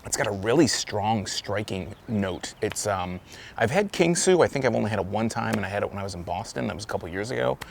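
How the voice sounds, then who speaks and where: A man's voice: lowish voice, lively intonation, brisk, gravelly. A man casually reviewing a beer outdoors, rambling and correcting himself as he talks. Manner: rambling, self-correcting, loose. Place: outdoors, windy. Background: wind noise.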